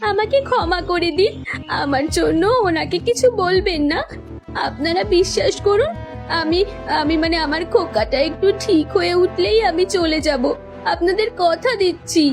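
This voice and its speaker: A woman voicing a helpless, timid young widowed mother in a village cartoon, pleading softly and earnestly. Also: very high voice, moderate pace, faint music behind. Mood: tearful pleading. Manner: tearful, timid, beseeching. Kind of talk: cartoon voice acting.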